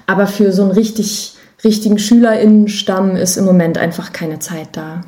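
A woman with a soft-edged, mellow voice at a middle pitch talks as an interview guest. She is chatty and candid, explaining her work in a casual, self-deprecating way. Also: moderate pace. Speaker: podcast guest, musician and voice artist.

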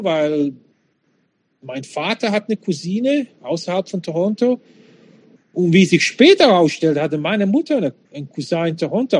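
Lively higher-voiced man, non-native German speaker. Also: husky. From Switzerland, born in Czechoslovakia. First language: not German. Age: 50s. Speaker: interview guest. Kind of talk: casual reminiscing conversation.

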